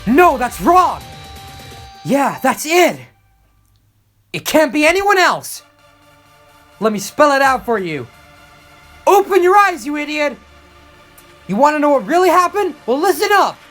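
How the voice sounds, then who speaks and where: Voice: sits high, a bit bright, voice pushed up, heavily acted. A person voicing an earnest, protective teenage boy animator in anime game voice lines, speaking emphatically. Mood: fierce. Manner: emphatic, dramatic delivery.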